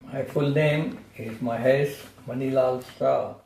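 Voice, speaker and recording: A man in his seventies with an Indian accent, describing his family home in a measured, matter-of-fact way. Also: medium pitch, fairly even intonation, creaky, a little mellow, a little hiss or hum. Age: 70s. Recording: echoey room, mic at a distance, faint ambience.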